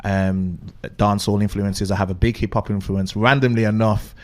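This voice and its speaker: A man's voice: lowish voice, fairly even intonation, normal speed, darkish tone. A man chatting casually as an interview guest, explaining things in a rambling way. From UK, West Midlands of England. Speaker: podcast guest, DJ.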